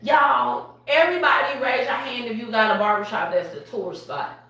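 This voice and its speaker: A woman, a barbershop owner speaking on a live panel in an animated, emphatic way, often laughing. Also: a little high, normal speed, lo-fi sound. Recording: echoey room from far off.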